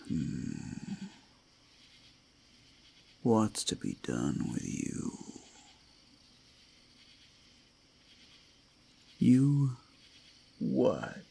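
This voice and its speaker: Predatory werewolf, ASMR roleplay. Deep, gravelly growl into breathy whisper, menacing, wildly swinging intonation. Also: male voice, a little mellow, slight background noise, exaggerated voice. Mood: gloating, toying. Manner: slow, menacing, savoring. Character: predatory male werewolf stalking prey.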